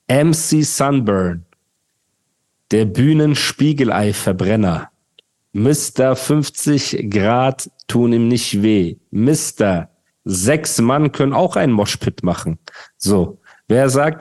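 A man's voice: mid-range voice, darkish tone. A male podcast host ranting and mocking in casual, slangy chat.

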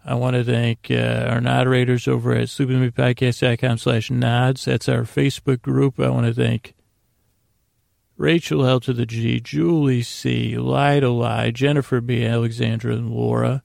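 Male podcast host, middle-aged, gravelly fry, halting lulling bedtime rambles, dry intimate recording. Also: mid-range voice, level tone, a little mellow, dry recording. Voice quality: gravelly, creaky. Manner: meandering, halting, repeats and trails off; lulling, soothing, friendly tones. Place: small closet full of clothes, indoors.

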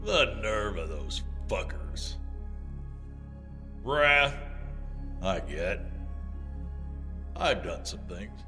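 An actor in an audio drama playing a lazy, petulant God who is easily enraged. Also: male voice, fairly low pitch, sing-song, sharp tone, big-room sound, faint music behind, full character voice. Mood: grumbling. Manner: slow drawling speech bursting into bellowing outrage.

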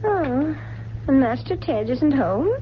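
A woman's voice: sits high, expressive, slow. An actress playing a wealthy, forgetful, grief-haunted widowed mother in a radio mystery drama, with a genteel, drifting manner. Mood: absent-minded, genteel. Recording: dull, hissy 1970s radio recording.

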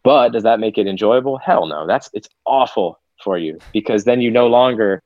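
Low-ish guy guest on a podcast, candid and thoughtful, dry muffled sound. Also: lively intonation, normal speed, a little mellow, dry recording. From Seattle, USA. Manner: reflective, candid, swears casually. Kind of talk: casual podcast conversation. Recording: dull, lo-fi remote audio.

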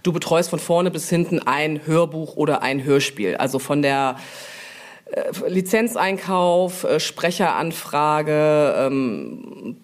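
Casual, chatty woman in an interview. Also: fairly low pitch. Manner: informal, colloquial, chatty. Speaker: interview guest, publishing brand manager.

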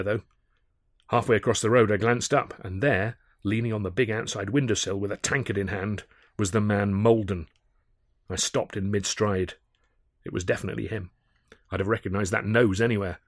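Low-voiced man narrating a story. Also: steady tone, moderate pace, dry recording, clean studio sound. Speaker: author narrating own audiobook. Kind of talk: scripted first-person comic adventure narration.

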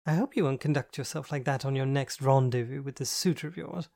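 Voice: mid-range voice, brisk, vocal fry, darkish tone, close to an everyday voice. A reader voicing a flamboyant, camp young man who speaks grandly and teases his friend, in an audiobook. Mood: teasing. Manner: grand, theatrical, teasing. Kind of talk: audiobook dialogue.

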